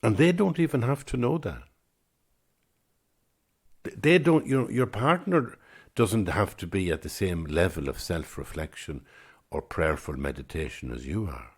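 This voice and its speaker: A man's voice: sits low, lively intonation, unhurried, breathy edge. A man in his sixties, a podcast host, talking alone in a reflective, musing way and often repeating words as he gathers his thoughts. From Ireland. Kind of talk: reflective solo podcast talk.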